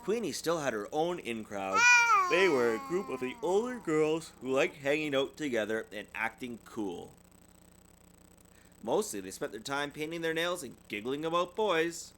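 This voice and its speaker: Animated man reading a children's storybook. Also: fairly high pitch, moderate pace, noisy background. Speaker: parent reading aloud to children. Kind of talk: read-aloud children's storybook narration. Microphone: USB desktop microphone.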